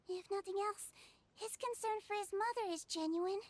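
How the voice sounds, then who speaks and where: A woman voicing a small, calm, kindly non-human girl nurse in a video game, speaking in a measured, reassuring way. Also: very high voice, no echo. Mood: calm, assured.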